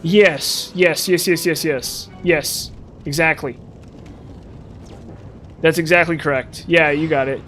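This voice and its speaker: A man in his thirties with a slightly high voice, rambling in a loose, chatty way as he talks straight to his stream viewers. Faint game music plays underneath him. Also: fairly even intonation, slow pace, long pauses, fairly bright tone. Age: 30s. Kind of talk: casual live-stream chat while playing.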